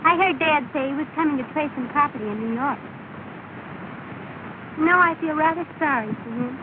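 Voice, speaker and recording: A woman's voice: high voice, moderate pace, natural voice. An actress playing a cheerful, gracious young woman, teasing and polite, in 1930 film acting. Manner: bright, polite, lightly teasing. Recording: scratchy, tinny 1930 film soundtrack with hiss.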